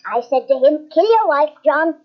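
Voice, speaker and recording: A woman's voice: high-pitched, animated, moderate pace. An actress playing a coy, scheming maidservant who urged her lover to murder, in 1950s radio acting. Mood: smug. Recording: muffled old radio broadcast.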